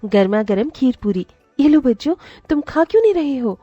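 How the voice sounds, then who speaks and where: High-pitched, protective motherly aunt, acted by a woman. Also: expressive, normal speed, dark tone, no echo, highs cut off, soft background music. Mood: tender. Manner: earnest, emotional, emphatic. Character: loving, protective young aunt, firm and motherly. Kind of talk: dramatised moral story voice acting.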